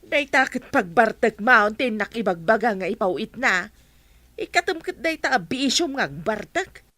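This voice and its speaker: A woman's voice: a little high, sing-song, normal speed, sharp tone, no room sound, full character voice. A woman with an Ilocano accent playing a worried village mother who nags her husband in a radio drama. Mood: scolding.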